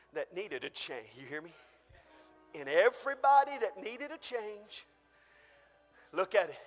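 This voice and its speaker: Male pastor, fervent shouting preacher, strained voice, bright edge, echoing church hall. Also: high voice. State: losing his voice. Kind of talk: sermon.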